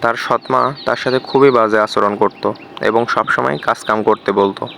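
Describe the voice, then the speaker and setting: A man's voice: mid-range voice, steady tone, normal speed, creaky, bright, forceful tone, a little hiss or hum. A man narrating a scripted fairy tale as storyteller and host.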